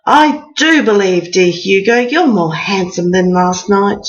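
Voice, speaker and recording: A puffed-up, self-adoring king from a bedtime storybook, acted out by a woman. He speaks with haughty grandeur, overstating every word at a slow pace. The recording is slightly dull. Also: medium pitch, expressive. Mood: boastful. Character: pompous, boastful male king with a huge ego.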